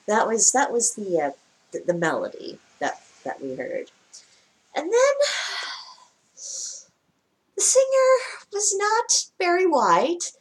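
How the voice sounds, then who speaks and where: A woman with a lower-pitched voice giving casual spoken commentary as a vlogger, wry and chatty, often laughing mid-sentence. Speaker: vlogger reacting to a broadcast.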